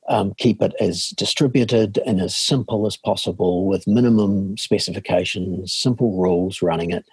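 A middle-aged man with a New Zealand accent, a guest on a video-call interview, answering in a measured way with frequent fillers and restarts. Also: on the low side, a little mellow, husky, no room sound, poor audio. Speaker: guest, leadership consultancy chair. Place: home in a village.

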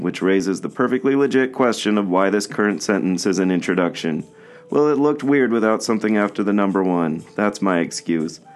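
Podcast co-host, low voice, deadpan sarcastic read of a scripted bit, faint music under. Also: male voice. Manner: dry, sarcastic delivery. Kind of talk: scripted comedic list segment.

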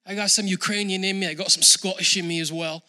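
A male pastor with a high, very bright and forceful voice delivers a sermon. He speaks in a relaxed, conversational way to viewers at home, then builds to loud, emphatic preaching. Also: fast.

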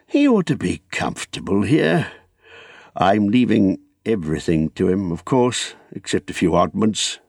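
A man's voice: lowish voice, big pitch swings, a little slow, vocal fry, a little mellow, husky, dry recording, clean studio sound, full character voice. A man voicing an old hobbit in a storybook reading, fretful, wistful and stubbornly possessive, with an emphatic, rambling, hesitant manner.

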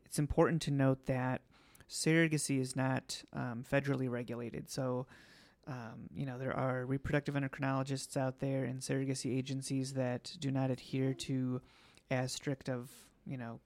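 A man hosting a podcast, slightly hoarse today, explaining things casually with many ums. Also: mid-range voice, darkish tone. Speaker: podcast host.

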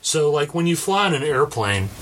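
A man's voice: fairly high pitch, very animated, normal speed, fairly bright tone, a little hiss or hum. A man hosting a podcast, explaining things casually in a stop-and-start, conversational way and checking that his listener follows. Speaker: podcast host.

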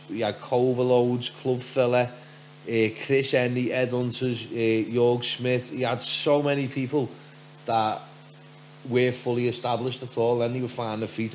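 A man from Liverpool with a softened Scouse accent and a deep voice, telling stories casually as a podcast guest. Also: slight background noise, rough recording. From Liverpool, England. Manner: rambling storyteller, frequent "do you know what I mean".

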